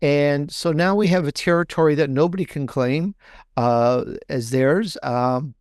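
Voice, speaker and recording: A man's voice: a little high, a little slow, darkish tone, clean tone, dry recording. Man talking up his book, dry sound. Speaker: author and podcaster guest. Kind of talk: conversational promotional interview. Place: video interview with book backdrop.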